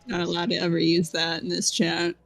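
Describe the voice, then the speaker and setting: A woman's voice: lowish voice, completely flat delivery, brisk, gravelly, a crowd in the background. A woman chatting casually and teasingly in a game stream's voice chat.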